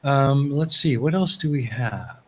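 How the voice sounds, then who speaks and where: A man's voice: mid-range voice, lively intonation, unhurried, fairly bright tone. A man hosting a live stream from home, reading out viewers' chat questions in a friendly, affirming way. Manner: friendly, affirming with many "mm-hmm"s.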